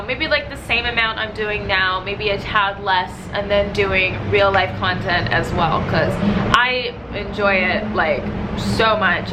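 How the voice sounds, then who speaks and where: A woman's voice: medium pitch, noisy background. A stuffy, congested young woman talking candidly in a casual vlog, rambling and heartfelt with many fillers. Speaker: gaming video creator.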